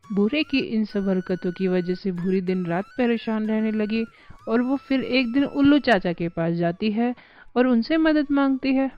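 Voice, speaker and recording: A woman's voice: fairly high pitch, moderate pace, clean tone, lo-fi sound, faint music behind. A woman narrating a scripted cartoon story for children.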